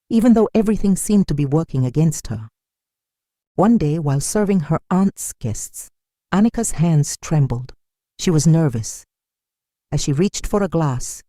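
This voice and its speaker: A narrator reading a scripted dramatic story in a measured storytelling manner. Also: mid-range voice, dark tone, no room sound, studio quality.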